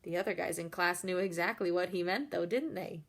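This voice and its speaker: A woman's voice: lowish voice, brisk, vocal fry. A woman reading a picture book aloud expressively, with chatty asides addressed straight to young listeners. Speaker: storyteller reading to children.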